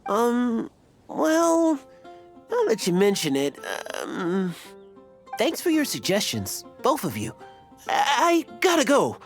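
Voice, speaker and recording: A woman's voice: fairly high pitch, wildly swooping pitch, slow, exaggerated voice. A woman voicing a nervous, fanatical young male fan club leader in an anime game, stammering and self-important. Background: light game music.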